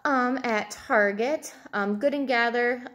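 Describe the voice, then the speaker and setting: A woman's voice: on the high side, slow pace, fairly bright tone. A woman in her thirties giving informal advice to camera as a coach, conversational and thinking aloud as she goes. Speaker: fitness and nutrition coach. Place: indoors, small room at home, seated at a table.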